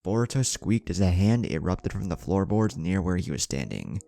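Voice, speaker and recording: A man's voice: sits low, big pitch swings, moderate pace, vocal fry, mellow. A man reads a dramatic scripted story as its narrator, with music behind him. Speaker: fan fiction narrator.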